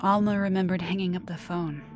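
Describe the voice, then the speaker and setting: A woman reading a scripted horror story as narrator, with dramatic, measured delivery. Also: fairly low pitch, steady tone, over music. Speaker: storyteller and show host.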